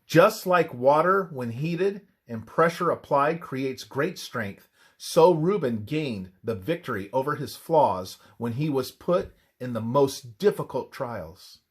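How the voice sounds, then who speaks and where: A man reads a scripted religious text aloud as devotional narration, in a measured, emphatic scripture-reading manner. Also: medium pitch, animated, slightly rough.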